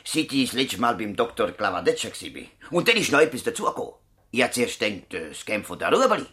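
Animated, hesitant Swiss male patient. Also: fairly low pitch, fast talker, a little hiss or hum. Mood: hesitant, complaining. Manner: hesitant, homely, expressive. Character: affable, slightly bewildered male dog-breeder patient. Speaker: actor. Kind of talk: naturalistic radio drama acting.

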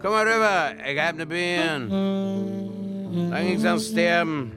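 A man's voice: high voice, slow pace. A man playing a singing storyteller, delivering a folksy ballad in an audio musical over music. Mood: wistful, playful.

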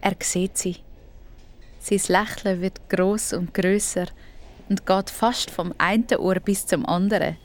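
A woman's voice: mid-range voice, fairly even intonation, slow pace. A woman with a Swiss German accent narrating a scripted audio story for children.

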